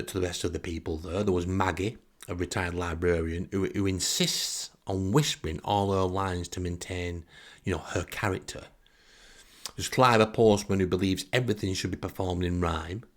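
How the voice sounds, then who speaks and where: Low, creaky storytelling man. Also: a bit bright. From northern England. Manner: wry, exasperated. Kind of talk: comic anecdotal monologue.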